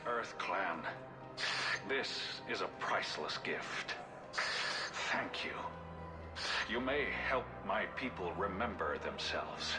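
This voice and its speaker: Slow, deep, solemn alien. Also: male voice, lively intonation, music in the background. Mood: solemn, grateful. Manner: solemn, deliberate. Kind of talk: video game acting.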